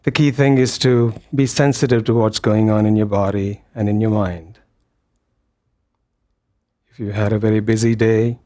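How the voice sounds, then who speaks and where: A man leading a guided meditation as a teacher, speaking gently in short phrases with long pauses between them. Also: lowish voice. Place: meditation hall.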